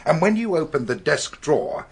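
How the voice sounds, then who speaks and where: A man's voice: on the high side, sing-song, normal speed, vocal fry, breathy edge, exaggerated voice. An actor playing a methodical, accusing British police investigator in a radio drama, formal and precise as he presses his case.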